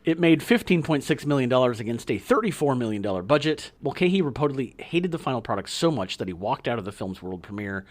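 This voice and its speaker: A man's voice: medium pitch, very animated, quick pace, few pauses. A man co-hosting a podcast, reading facts from notes in casual chat with fillers. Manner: reads notes with fillers, laughs along. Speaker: podcast co-host.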